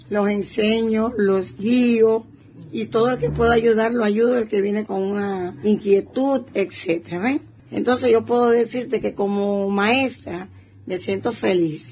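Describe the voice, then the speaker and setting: Older eastern Cuban woman, muffled recording. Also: on the high side. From Santiago de Cuba province, Cuba. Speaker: retired primary school teacher, interviewee. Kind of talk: personal testimony. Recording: muffled, faint background noise.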